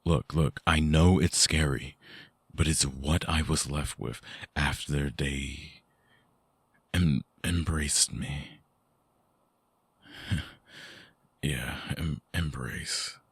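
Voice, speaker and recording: A man playing a brooding, tender, wounded vampire ex-lover in an intimate ASMR audio drama, husky and breathy, with a halting, hushed delivery close to the mic. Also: rumbling low, steady tone, dry recording, heavily acted. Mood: anxious, pleading. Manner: slow, halting, sighing, hushed confiding. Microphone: close binaural mic, dry sound.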